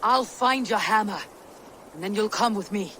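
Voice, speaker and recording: A woman's voice: medium pitch, normal speed, fairly bright tone, lightly acted. A woman playing a steadfast Viking warrior in a video game, speaking firmly and bluntly. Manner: firm, blunt, commanding. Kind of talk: dramatic video game acting. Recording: clear background ambient noise.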